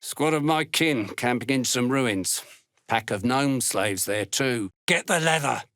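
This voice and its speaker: Gravelly, menacing fantasy dwarf slave hunter. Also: male voice, mid-range voice, expressive, measured pace, fairly bright tone, no echo, clean studio sound. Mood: curt, businesslike. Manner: blunt, threatening, scornful. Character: gruff, menacing duergar slave hunter, callous fantasy soldier. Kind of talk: video game character voice lines.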